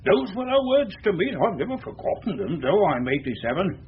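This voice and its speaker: High expressive male voice, frail humble old father, quavering, halting, period radio drama, muffled recording. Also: slight background noise. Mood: tender, grieving. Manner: deferential, halting.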